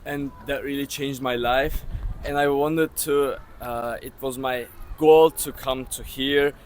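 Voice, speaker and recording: A young man from Cyprus answers in a plain, brisk way at a middle pitch. Faint background noise sits behind him. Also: steady tone. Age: young adult. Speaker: student interviewee.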